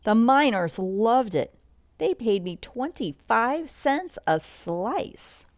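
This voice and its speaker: A woman reading a children's bedtime story aloud in an expressive read-along style, sometimes commenting as she goes. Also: fairly high pitch, slow pace, no echo. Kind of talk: bedtime story read-along narration with asides about pictures.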